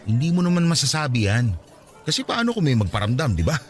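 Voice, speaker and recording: An actor playing Raj, a caring young man giving his childhood friend advice, in a radio drama with soft music underneath. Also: medium pitch, very animated, fast talker, lightly acted.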